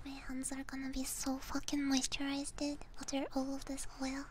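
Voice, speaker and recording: A woman livestreaming ASMR in a soft breathy whisper, chatting casually with viewers close to a binaural microphone. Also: high-pitched, monotone, normal speed, slight background noise. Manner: casual, chatty, frequent swearing. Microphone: binaural ear microphone, close up.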